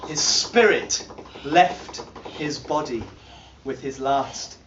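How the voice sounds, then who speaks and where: A storyteller giving a dramatic, incantatory poetry reading, theatrical in delivery, swinging between hushed passages and loud cries. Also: mid-range voice, slow pace, noisy background. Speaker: storyteller performing a charm. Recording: echoey, dull distant live recording, loud drumming. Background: loud drumming.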